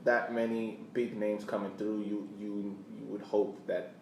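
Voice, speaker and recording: Male co-host, lowish voice, halting explanatory chat, sitting back from the mic. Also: level tone, a little mellow. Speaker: sports podcast co-host. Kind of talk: casual soccer discussion. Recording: roomy, distant mic, faint noise.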